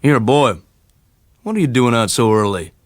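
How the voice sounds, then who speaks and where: A man voicing a gruff, mocking fellow who picks on youngsters. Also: medium pitch, lively intonation, normal speed, dry recording, voice pushed up, natural voice. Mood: gruff, scolding.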